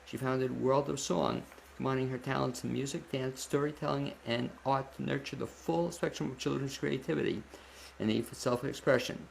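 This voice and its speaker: A man hosting a radio interview show, speaking conversationally and a little haltingly between questions and announcements. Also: medium pitch, steady tone, gravelly, a little hiss or hum, poor audio.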